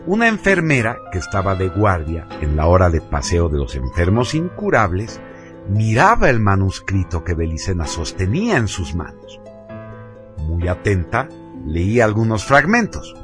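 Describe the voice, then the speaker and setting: A man narrating a radio drama from a script in a solemn, deliberate, theatrical way. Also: low voice, wildly swooping pitch, over music.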